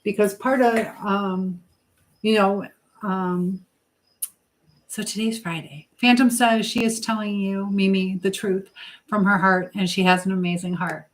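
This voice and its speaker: A woman hosting a live chat, speaking earnestly and haltingly with pauses as she gives advice and encouragement to viewers. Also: mid-range voice, fairly even intonation, slight background noise, low-quality recording. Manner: religious encouragement. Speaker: live stream host.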